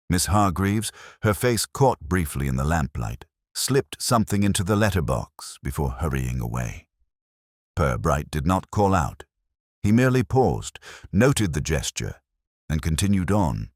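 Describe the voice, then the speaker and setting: Male narrator, very low register with lively intonation, measured literary delivery for mystery fiction. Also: dry recording, studio quality. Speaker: audiobook narrator. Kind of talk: scripted narration of a mystery story.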